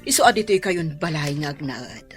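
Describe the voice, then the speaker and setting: An effusive older Filipino matriarch, doting on her family in a radio drama. Her pitch swings widely and expressively, with music playing clearly underneath. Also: lively intonation, moderate pace. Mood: warm, motherly. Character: older mother-in-law, doting matriarch pleased with her children's weddings.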